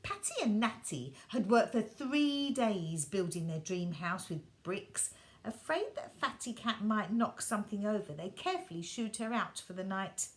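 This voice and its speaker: A woman reading a children's bedtime story aloud, gentle and expressive, sometimes dropping her voice soft. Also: medium pitch, normal speed.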